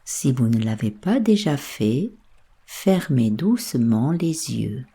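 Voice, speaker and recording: A woman with a soft, breathy voice leading a guided sleep meditation in a hushed, soothing, unhurried way. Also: a bass voice, lively intonation. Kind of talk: slow guided yoga nidra sleep meditation.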